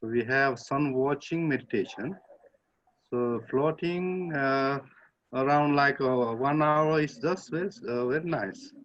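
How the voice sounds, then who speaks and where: Male participant from Nepal, medium pitch, hesitant conversational delivery, slightly dull online-call sound. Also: unhurried, fairly bright tone. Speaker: reading group participant. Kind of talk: casual sharing of personal experience. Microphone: video call. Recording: dull lo-fi video-call audio.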